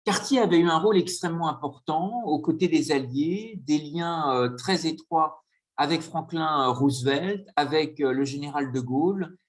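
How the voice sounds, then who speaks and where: A man giving a conference lecture with slides, speaking hesitantly with frequent filler pauses. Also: a little high.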